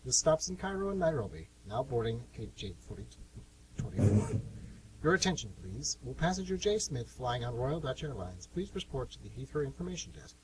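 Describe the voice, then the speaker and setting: A man's voice: mid-range voice, big pitch swings, fairly quick, natural voice. A man acting a formal airport announcer in a radio play, heard at a distance from the microphone. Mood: formal, impersonal. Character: formal airport announcer calling flights and passengers. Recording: distant mic with light hiss and hum.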